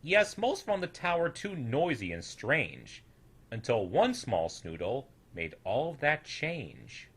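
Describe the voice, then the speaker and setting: A young man reading a rhyming children's story as an expressive, theatrical storyteller with a sing-song rhythm. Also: mid-range voice, slow pace, breathy edge. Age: young adult.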